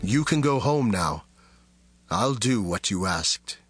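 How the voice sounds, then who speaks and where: Solemn biblical warrior leader over music. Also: male voice, on the low side, expressive, slow, fairly bright tone, husky, no room sound, exaggerated voice. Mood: reassuring. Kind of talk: dramatized audio Bible acting.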